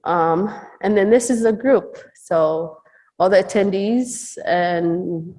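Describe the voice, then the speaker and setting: A woman's voice: medium pitch, slow, darkish tone, poor audio. A woman casually presenting slides at a chapel service, rambling with frequent fillers and restarts. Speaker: campus ministry speaker.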